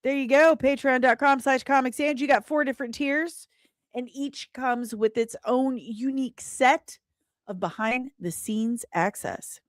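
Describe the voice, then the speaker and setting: Slow-paced, higher-voiced woman hosting a livestream. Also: no echo, studio quality. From Britain. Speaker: livestream host and comic book writer. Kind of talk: casual livestream chat with viewers.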